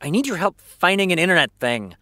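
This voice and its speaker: A man's voice: high voice, big pitch swings, a little fast, bright, forceful tone, dry recording, exaggerated voice. An actor playing a needy, self-important male showrunner in a comedic audio drama, theatrical and insistent. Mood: exasperated, pleading.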